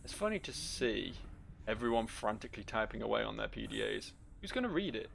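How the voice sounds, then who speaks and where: A man's voice: fairly low pitch, very animated, a little fast, vocal fry, fairly bright tone. A man hosting a game playthrough with casual, wry, sweary commentary. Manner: wry, sweary, reads game text aloud. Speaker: gaming video host. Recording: faint noise, game ambience.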